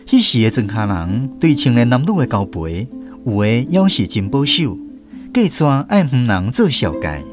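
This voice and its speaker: A man with a Taiwanese accent narrates a scripted story in a folksy way. His delivery is lively, with wide swings in pitch, over faint plucked-string music. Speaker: narrator of a radio drama.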